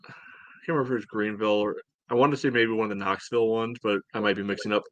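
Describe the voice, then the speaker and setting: Guy on a soccer podcast, kinda deep voice, dry recording, halting casual analysis. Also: fairly even intonation, no room sound, dull audio. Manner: halting, rambling delivery peppered with "you know". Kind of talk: casual sports analysis chat.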